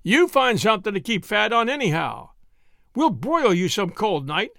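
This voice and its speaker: A man's voice: sits high, sing-song, normal speed, gravelly, a bit bright, no room sound, studio quality, voice pushed up, full character voice. A man doing a jeering young soldier. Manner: scolding, jeering.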